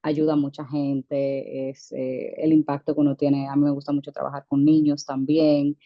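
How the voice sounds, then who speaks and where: Low-voiced woman with many fillers. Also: little pitch movement, moderate pace, no echo, highs cut off. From United States, Dominican parents. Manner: hesitant, many fillers and restarts. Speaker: guest, dentist. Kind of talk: conversational interview.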